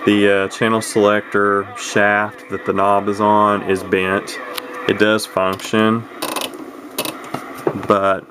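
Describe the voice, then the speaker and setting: A man's voice: lowish voice, monotone, slow pace, background noise. A man giving a casual, unscripted product walkthrough in a rambling, matter-of-fact way, as a hobbyist talking near his camera. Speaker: radio collector giving a product demonstration.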